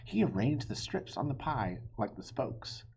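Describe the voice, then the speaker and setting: A man narrates a children's storybook at an unhurried pace with very animated pitch. His voice is a little husky, and the recording sounds slightly dull. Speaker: storybook reader. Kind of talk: expressive read-aloud narration for children.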